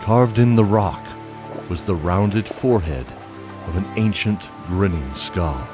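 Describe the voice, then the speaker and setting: A man's voice: low-pitched, unhurried, music in the background. A man reading scripted narration for a fantasy audio drama in a descriptive storytelling manner.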